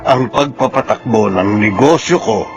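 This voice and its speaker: Male actor as swaggering, jovial businessman flush with money; mid-pitched voice, Filipino accent, radio drama with music underneath. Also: a little slow, close to an everyday voice. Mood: proud, satisfied. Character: Magno, boastful, newly rich trucking boss.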